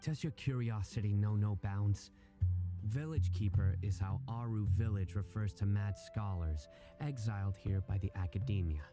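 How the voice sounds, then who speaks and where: An actor playing a stern, solemn exiled general in a video game, speaking gravely and formally. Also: male voice, fairly low pitch, moderate pace, music in the background, close to an everyday voice. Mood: dry, explaining. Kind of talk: video game character acting.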